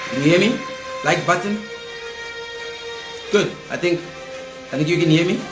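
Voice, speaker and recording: Hoarse man host, live-stream chat. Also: fairly high pitch, lively intonation, moderate pace, music in the background. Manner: chatty, addresses viewers directly, repeats calls. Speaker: host of an online political show. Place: indoor room, seated at a table. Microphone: fixed camera, mid-distance. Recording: roomy echo.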